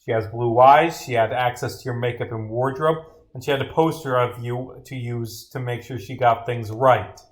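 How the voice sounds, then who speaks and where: A man's voice: medium pitch, steady tone, moderate pace, hardly any pauses, close to an everyday voice. Man reading as a bumbling, cocky detective. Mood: confident. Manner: rambling, easily sidetracked. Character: bumbling, scatterbrained detective, cocky yet easily confused.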